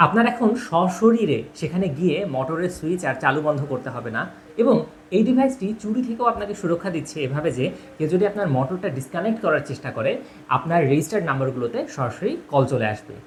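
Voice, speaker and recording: Man presenting over clear background noise. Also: on the high side, sing-song, fast talker. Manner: explanatory, addresses viewers directly. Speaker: product presenter. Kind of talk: product demonstration narration.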